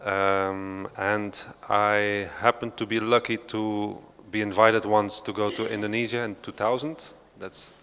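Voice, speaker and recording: A man from Belgium gives an unscripted talk to a panel audience, low-pitched and fairly flat in his melody. He hesitates a lot, filling gaps with "uh" and "um" and restarting his sentences. His voice carries a long reverb from the large hall. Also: unhurried, hardly any pauses, clean tone. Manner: hesitant, many fillers and restarts, non-native phrasing. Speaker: panel speaker, researcher. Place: large hall of an art centre.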